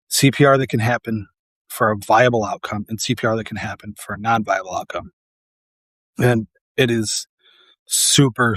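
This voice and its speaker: A man's voice: lowish voice, slightly rough, dry recording. A man who works as a paramedic, talking casually and earnestly to camera, tired and losing his voice.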